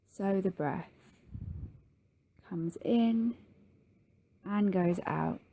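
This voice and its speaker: A woman leading a guided yoga nidra meditation in a soft, soothing manner with long pauses. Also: medium pitch, gravelly.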